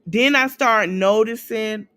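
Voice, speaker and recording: A woman podcast host giving blunt, casual commentary in a conversational, drawn-out way. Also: a little high, a bit bright, no echo.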